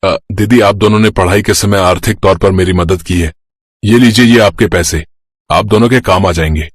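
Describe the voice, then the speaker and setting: A man's voice: low voice, fairly even intonation, moderate pace, no room sound, clean studio sound. A man voicing an earnest, emphatic adult brother in a moral-story cartoon. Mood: boastful, offering.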